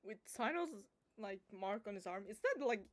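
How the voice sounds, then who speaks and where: A woman's voice: mid-range voice, lively intonation, moderate pace, dry recording. A woman streaming a game, giving casual, sarcastic and playful reaction commentary. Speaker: gaming streamer. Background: game music.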